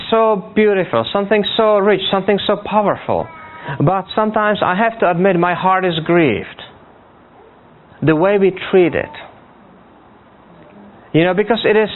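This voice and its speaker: A man from Africa preaching conversationally and earnestly, often repeating words haltingly and checking in with his listeners. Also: high voice. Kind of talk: conversational sermon. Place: speaking to a church congregation. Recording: tinny, phone-like narrow band.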